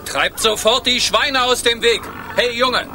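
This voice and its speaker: A stern guard captain who snaps out commands. His voice sits high and sharp, with clear outdoor ambience behind this radio play. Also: unhurried, noisy background. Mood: commanding. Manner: commanding, barking orders.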